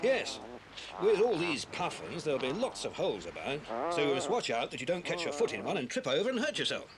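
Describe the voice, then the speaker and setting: An actor playing a calm, protective British man looking after children in a 1980s adventure audio drama. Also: on the high side, a little fast, a loud crowd, rough recording. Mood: reassuring, explaining. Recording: slightly hissy 1980s cassette recording.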